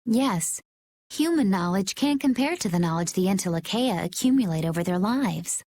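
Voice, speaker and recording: Calm, knowing young adventurer. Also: female voice, medium pitch, moderate pace, no echo. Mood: calm, explaining. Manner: composed, explanatory. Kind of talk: English game dub acting.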